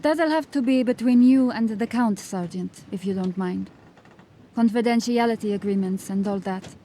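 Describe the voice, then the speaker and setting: A woman playing a cocky, smooth-talking female ship captain who is breezy and evasive with the law. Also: medium pitch, moderate pace, slight background noise, lightly acted. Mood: sly. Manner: breezy, evasive, gently mocking.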